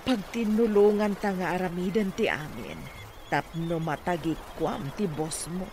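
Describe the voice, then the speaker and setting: A woman's voice: fairly low pitch, unhurried, darkish tone, slight background noise. A woman acting a scheming, pushy mother in a radio comedy drama, with an Ilocano accent. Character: scheming, pushy mother coaching her daughter.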